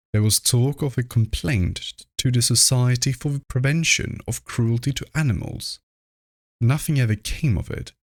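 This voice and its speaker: A man reading a horror story aloud as narrator, with measured, deliberate phrasing. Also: medium pitch, dry recording, clean studio sound. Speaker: narrator, livestream reader.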